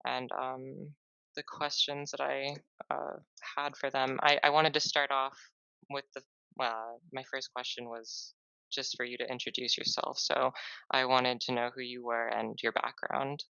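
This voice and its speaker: Slow, flat-voiced hesitant interviewer. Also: medium pitch, creaky, clean tone, no echo, muffled recording. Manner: hesitant, many fillers. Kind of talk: asking interview questions.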